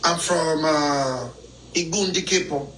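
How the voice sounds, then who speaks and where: A man's voice: a little high, takes it slowly, a crowd in the background, low-quality recording. A Nigerian man calling into a live chat, boastful and joking in Nigerian Pidgin. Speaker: caller.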